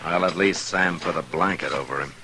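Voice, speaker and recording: An American actor playing a watchful, weary frontier marshal in an old-time radio western. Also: male voice, sits low, a little fast, natural voice. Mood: businesslike, concerned. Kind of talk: 1950s western radio drama acting. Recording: noisy old radio broadcast recording.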